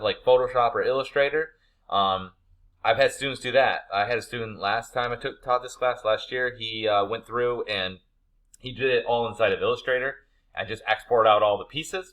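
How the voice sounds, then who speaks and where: A man's voice: mid-range voice, pushed and bright. A man teaching a class, talking through an assignment informally in an easygoing, colloquial way. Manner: easygoing, colloquial, laid-back phrasing. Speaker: instructor of an animation class. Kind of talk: informal spoken assignment walkthrough.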